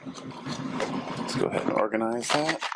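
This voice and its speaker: A man's voice: mid-range voice, a little slow. A middle-aged man in a casual, unassuming hands-on tutorial, thinking aloud as he works. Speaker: host of a DIY repair vlog. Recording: steady machine noise in background, 3D printer. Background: 3D printer running.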